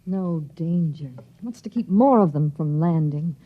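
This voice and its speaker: A woman's voice: low voice, expressive, normal speed, narrow, tinny audio. An actress playing an anxious, pleading woman devoted to her partner in a 1950s radio drama. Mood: worried. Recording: echoey, distant, faintly noisy old radio.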